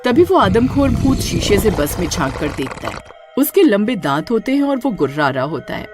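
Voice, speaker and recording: A woman telling a spooky cartoon tale with suspense. Her pitch moves a great deal, and faint music plays underneath. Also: sing-song, normal speed. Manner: dramatic, suspenseful storytelling. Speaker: narrator. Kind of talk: scripted storytelling narration.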